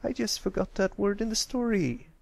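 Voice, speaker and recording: Man putting on a brash, high-pitched tomboy filly. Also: normal speed, creaky. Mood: indignant. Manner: loud, defensive, bragging. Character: brash, boastful young tomboy pony filly, easily annoyed.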